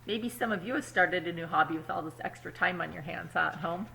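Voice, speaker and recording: A woman, apparently a teacher, reads a picture book aloud to children expressively, pausing to explain words simply and check in with her young listeners. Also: lowish voice, creaky, noisy background. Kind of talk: children's storybook read-aloud with asides.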